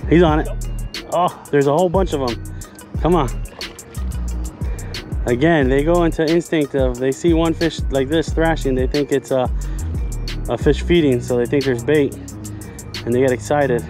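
A man in his thirties narrates his fly-fishing trip on an action camera at the edge of a lake, explaining each cast as he goes. His voice sits a little high, and it lifts with excitement whenever fish break the surface. Faint music plays underneath. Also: moderate pace, pauses often. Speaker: fishing vlogger. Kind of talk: casual vlog narration. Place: outdoors by a lake.

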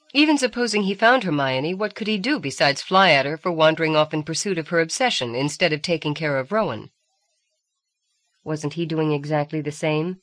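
A woman reading a novel aloud as scripted audiobook narration. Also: sits low.

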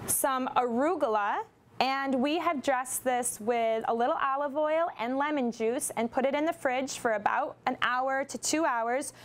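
High-voiced young woman demonstrating a recipe. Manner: instructive, steady, with occasional ums. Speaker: nutrition segment presenter. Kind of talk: cooking demonstration. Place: large steel studio kitchen, indoors.

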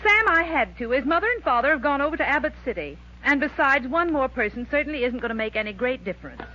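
A woman's voice: high voice, big pitch swings, fast talker. An actress playing a patient, placating housewife and mother with an American accent in a 1940s radio sitcom. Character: patient, soothing middle-aged housewife and mother. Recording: muffled old radio recording.

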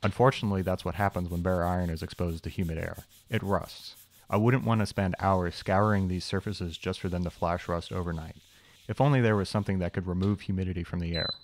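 Low-voiced man narrating with dry wit. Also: steady tone, brisk, no room sound. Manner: dry, wry humor with self-deprecating asides. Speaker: channel host, machinist. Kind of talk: scripted voice-over shop tour.

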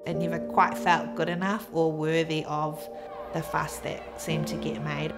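A woman in her twenties with a New Zealand accent, reflecting quietly in a documentary interview. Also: deep voice, flat delivery, unhurried. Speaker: athlete. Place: indoor room with framed photos. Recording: music underneath.

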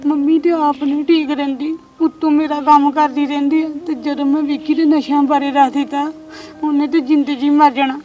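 A woman's voice: little pitch movement, brisk, warm tone, muffled recording, natural voice. A woman acting a distressed young married woman who cries and sounds despairing. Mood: subdued. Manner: sobbing, despairing, low subdued delivery. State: crying. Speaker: actor. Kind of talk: scripted drama acting. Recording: distant mic with faint music.

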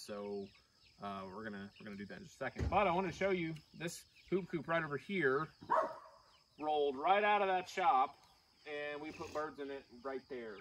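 A man in his forties hosting a casual farm vlog outdoors, with a relaxed drawl and plenty of fillers. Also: medium pitch. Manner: relaxed, folksy, with frequent fillers. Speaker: homestead farmer and channel host. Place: outdoors on a rural farm yard. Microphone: camera mic, at times from a distance. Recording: outdoor camera audio, slightly distant, faint hiss.

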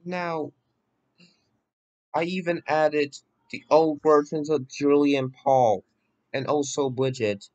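A man presenting a software demo in a casual walkthrough, pausing haltingly between phrases. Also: a little high, steady tone, dull audio. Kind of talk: casual screen-recording walkthrough. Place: voice-over on a screen recording.

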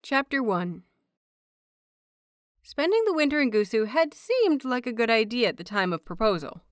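A woman hosting and narrating audio fiction in an expressive audiobook style. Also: a little high, moderate pace, dry recording, studio quality. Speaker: host and narrator of an audio fanfiction show.

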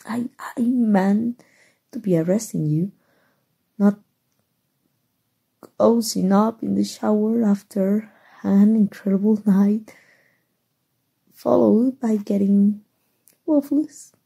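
A woman from Mexico playing a shy, flustered, nerdy gamer superheroine in an ASMR roleplay, soft and halting, with stammers. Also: medium pitch, heavily acted. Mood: flustered, smitten. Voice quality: breathy, soft.

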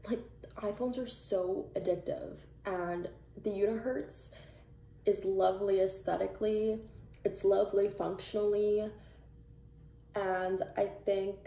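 A woman's voice: mid-range voice, steady tone, unhurried, mellow. A young woman from Canada chatting casually through a product review, rambling with many likes and ums, recorded on a camera a few feet away in a small plain room. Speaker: vlogger. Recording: roomy, distant camera sound.

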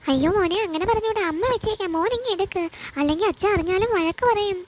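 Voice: extremely high pitch, quick pace, muffled recording. A performer playing a fussing young mother in a cartoon skit, coaxing and nagging her small boy. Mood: coaxing, insistent.

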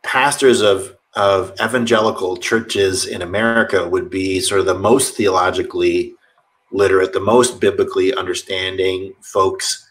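A man with a lowish voice speaks as a pastor on a webcam call from his home office. He talks earnestly and rambles, with many restarts and repeated words. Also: steady tone, normal speed, fairly bright tone, low-quality recording. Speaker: pastor, guest. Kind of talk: video call conversation.

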